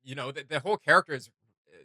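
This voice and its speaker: A man co-hosting a casual reaction video, animated and jokey. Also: a little high, racing, no echo, clean studio sound.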